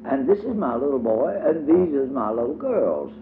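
A man's voice: mid-range voice, big pitch swings, normal speed, voice pushed up. A man voicing an eager, playful little girl who chatters away. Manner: eager, dialect-rich chatter. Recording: tinny phone-like audio, faint hiss.

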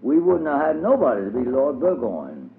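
A man's voice: medium pitch, huge pitch swings, normal speed, creaky, voice pushed up. A man voicing a bossy little girl who gives orders to the others. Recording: tinny phone-like audio, faint hiss.

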